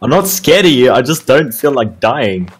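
Young male gamer, medium pitch, casual back-and-forth banter. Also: sing-song, normal speed. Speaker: video game player.

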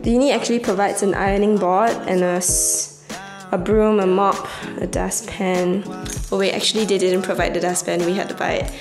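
A young woman from Singapore, a university student, chatting casually as she gives a walking tour of her student flat, filmed on a handheld camera at arm's length. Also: medium pitch, normal speed, over music. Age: young adult. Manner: chatty, explains as she walks around pointing things out. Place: student flat kitchen and bedroom, indoors. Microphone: handheld wide-angle camera at arm's length.

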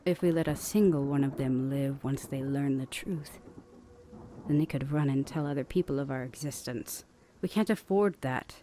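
A woman voicing a stern, weary older vampire sister in a measured, condescending ASMR roleplay, often half-whispering. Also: extremely low pitch, dark tone, slight background noise.

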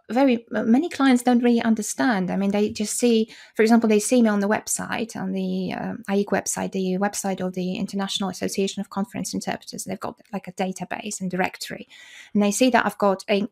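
A woman's voice: medium pitch, vocal fry, darkish tone. Guest interpreter, polish native speaker in her forties, explains things carefully and restarts a lot, video call mic. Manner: explanatory, frequent restarts and fillers, occasional laughs. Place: small room indoors. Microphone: video call, desk mic.

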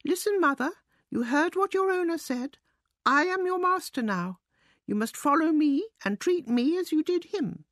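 A pompous little mouse, played by a woman in a storybook. His pitch sits high and swings about as he declaims with great self-importance and ready indignation. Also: lively intonation, normal speed, a bit bright, no echo, clean studio sound. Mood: bossy. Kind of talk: storybook character voice.

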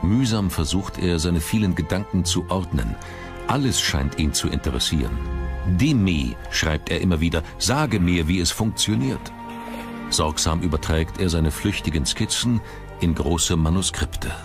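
A man with a very deep voice narrates a documentary. His delivery is scripted, measured and built for dramatic storytelling. Music plays clearly behind him. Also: animated.

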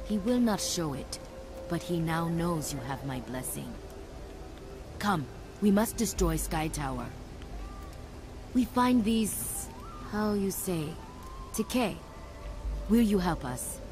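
A calm alien tribeswoman in a video game, speaking slowly in accented, broken English. Her voice sits slightly low, over clearly audible music. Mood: earnest, resolute. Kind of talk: video game cutscene acting.

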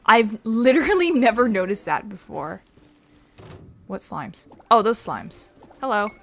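A woman's voice: medium pitch, lively intonation, unhurried, vocal fry. A woman giving casual, rambling, wry commentary as she plays a video game. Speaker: gaming streamer. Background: game music.

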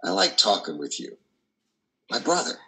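An older man playing a compassionate, gentle priest in a play reading, measured and reassuring, with hesitant pauses. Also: sits low, wildly swooping pitch, exaggerated voice. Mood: earnest reassurance. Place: indoor wood-trimmed room on a video call.

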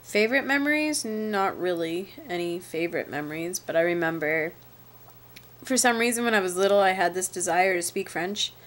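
A woman's voice: lowish voice, lively intonation, normal speed. A young woman with a stuffy, congested nose from a cold, chatting in a laid-back way for her vlog while sitting on a sofa at home, recorded on a camera held close. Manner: chatty and laid-back, trails off quietly at the ends of sentences. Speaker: vlogger. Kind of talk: casual vlog answering tag questions. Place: living room, sitting on a sofa, indoors. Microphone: webcam or handheld camera at arm's length.

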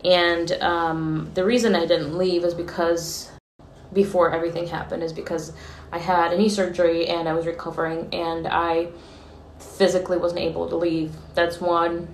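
A woman's voice: on the low side, little pitch movement, normal speed, echoey room, a little hiss or hum. A young woman from Ukraine, speaking earnestly into a phone held at arm's length, with many fillers and restarts. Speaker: vlogger. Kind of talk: selfie video diary. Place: small room indoors, street outside for some clips.

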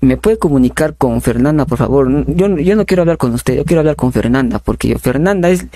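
A young man making a prank phone call as a polite, insistent recruiter, halting and repeating his words. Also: medium pitch, expressive, warm tone, no echo. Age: young adult. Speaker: prank caller. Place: small bedroom studio indoors. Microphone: condenser microphone on a boom arm, close.

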